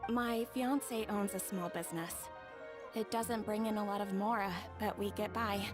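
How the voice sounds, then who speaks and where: A woman's voice: mid-range voice, fairly even intonation, moderate pace, over music, natural voice. A young woman playing a sweet, humble, grateful bride-to-be in a video game. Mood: wistful, earnest.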